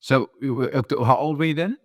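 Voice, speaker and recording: A man's voice: medium pitch, quick pace, no room sound, studio quality. A young man who grew up in the Netherlands, hosting a casual interview podcast, with loose talk full of repeated words and "bro". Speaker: podcast host.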